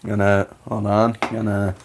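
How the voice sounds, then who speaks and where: A man's voice: on the low side, monotone, unhurried, darkish tone, slight background noise. A man co-hosting a podcast in casual comedic chat, riffing in a jokey, sardonic way. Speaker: podcast co-host.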